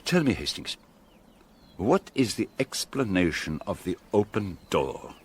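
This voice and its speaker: Radio drama: courteous, confident sleuth, deepish pitch, highly varied melody, precise delivery. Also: male voice, sing-song, measured pace, husky, full character voice. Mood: shrewd, assured. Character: shrewd Belgian detective, courteous, confident, gently secretive older man.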